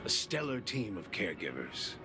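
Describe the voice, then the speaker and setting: A man voicing a weary, gentle old man in a video game, measured and wistful. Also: on the low side, big pitch swings. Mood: solemn, grateful. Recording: loud music over the voice.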